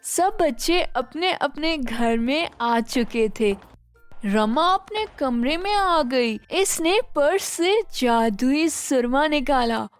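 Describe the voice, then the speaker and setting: Female storyteller for children's bedtime tales: high pitch, slow deliberate reading, expressive, soft music bed. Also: no echo.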